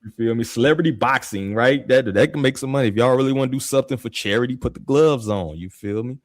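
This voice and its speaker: A man hosts a casual podcast chat in heavy street slang. His mid-range voice moves lively between highs and lows. The sound is dry and close. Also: fast, no room sound. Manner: animated, street slang, frequent "you feel me". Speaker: podcast host.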